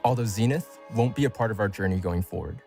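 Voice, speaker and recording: A man's voice: lowish voice, fast talker, creaky, a little mellow, soft background music. Man reading a formal company announcement. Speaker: game studio spokesman.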